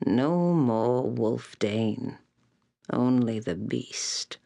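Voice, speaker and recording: A woman playing a blind old seer in a fantasy video game, fearful and fatalistic, speaking solemnly and cryptically in a breathy, raspy voice with long pauses. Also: rumbling low, dark tone, exaggerated voice. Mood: grave, ominous. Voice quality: breathy, raspy, whispery creaking voice. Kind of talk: video game character acting.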